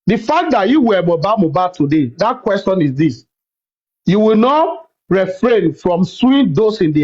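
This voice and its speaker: A man's voice: sits high, dull audio. A man hosts a heated live call-in debate, repeating himself and cutting in with "wait" and "hold on". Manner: repeats words, cuts in with rapid "wait" and "hold on". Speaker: live stream host.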